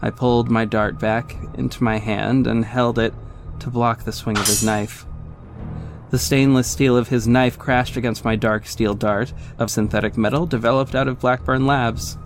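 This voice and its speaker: A man's voice: medium pitch, steady tone, normal speed, soft background music, lightly acted. A man playing a confident young vigilante who narrates his own exploits in a wry, smug way in an audio drama. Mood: focused, descriptive. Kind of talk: scripted first-person audio drama narration.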